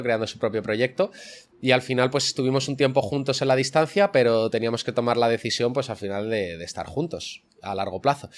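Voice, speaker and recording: Young spanish guy from around madrid, central spain accent, chatty podcast guest explaining stuff at length. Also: mid-range voice. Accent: central Spanish from the Madrid area. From Madrid area, Spain. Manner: explanatory, long flowing answers. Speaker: interview guest, online fitness coach. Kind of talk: conversational interview answers.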